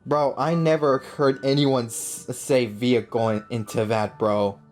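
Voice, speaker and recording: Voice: medium pitch, fairly even intonation, unhurried, darkish tone. A video game streamer giving casual, slangy, exclamatory reaction commentary.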